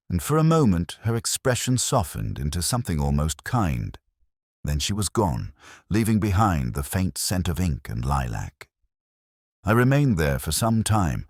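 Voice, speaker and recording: A man playing a world-weary older detective, narrating a mystery drama in a measured, literary, wry and melancholy way. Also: extremely low pitch, no echo, clean studio sound, heavily acted. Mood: brooding. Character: world-weary, brooding older male English detective narrating his case. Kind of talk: scripted first-person mystery audio drama narration.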